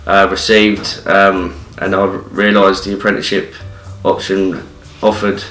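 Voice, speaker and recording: A young English man speaks hesitantly in a low, flat voice, full of fillers. He sounds distant in a roomy space, with soft music behind. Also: unhurried. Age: young adult. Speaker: qualified apprentice. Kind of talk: casual interview answers.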